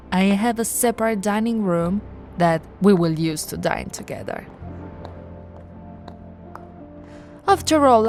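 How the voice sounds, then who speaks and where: A low-toned, aristocratic female vampire, acted by a woman speaks slowly over music. She is haughty and seductive, savoring her words with predatory possessiveness in an intimate audio roleplay. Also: a little mellow. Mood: possessive, predatory. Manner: slow, sultry, theatrical, drawn-out words with breathy whispers.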